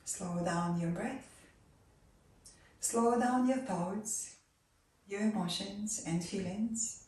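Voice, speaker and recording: A woman leading a guided relaxation and meditation, giving soft, calm instructions that sometimes drop to a near whisper. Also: medium pitch, slow pace. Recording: roomy echo, voice far from mic.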